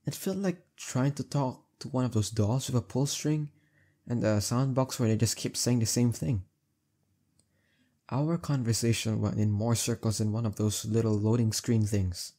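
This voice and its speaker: Guy acting as a young heartbreaker friend, mellow husky voice, casual halting talk, intimate roleplay audio. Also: mid-range voice, natural voice. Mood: awkward, let down.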